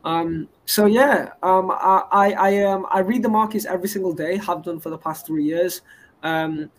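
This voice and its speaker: A man's voice: high-pitched, moderate pace. A man, a guest rambling through his business credentials in a casual interview. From England. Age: 20s. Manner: boastful and rambling, stacks up credentials. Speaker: guest entrepreneur.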